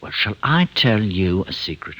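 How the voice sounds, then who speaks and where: An actor playing a nervous, self-righteous murderer doctor in an old radio drama, hesitant, stammering and theatrical. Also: male voice, lowish voice, big pitch swings, moderate pace, pushed and bright, full character voice, rough recording. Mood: nervous, coaxing. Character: nervous, self-righteous male poisoner doctor confessing his crimes. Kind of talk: old-time radio drama acting and first-person narration. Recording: old radio broadcast with faint music.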